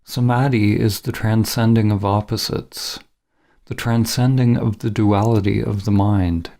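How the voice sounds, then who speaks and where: A man narrating a scripted guided meditation in a measured, solemn, instructional manner as the guide. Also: on the low side, fairly even intonation, vocal fry, warm tone.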